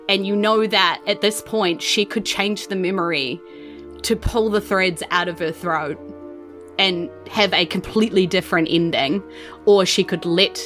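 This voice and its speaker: A woman's voice: lowish voice, a bit bright. New Zealand woman game master, music underneath. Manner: informal, rambling, self-deprecating. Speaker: game master and podcast host. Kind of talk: casual live-streamed game narration and chatty sign-off. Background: faint music.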